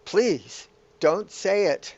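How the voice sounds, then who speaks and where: A man's voice: high-pitched, very slow, darkish tone. A man playing an anxious, bewildered hero in a radio play, fretful and pleading, on a dull lo-fi recording. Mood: exasperated. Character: anxious, bewildered hero stranded in a surreal world.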